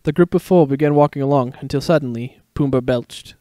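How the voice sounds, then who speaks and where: A man's voice: medium pitch, fairly quick, dark tone, no echo. A man giving a dramatic narration of a story. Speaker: fan fiction reader. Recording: background music under a dry voice.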